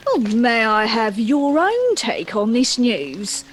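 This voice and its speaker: A woman's voice: fairly high pitch, huge pitch swings, slow pace, slight background noise, exaggerated voice. A woman playing an eager, persistent tabloid reporter in a comic play, theatrical and coaxing. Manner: theatrical, coaxing, headline-declaiming.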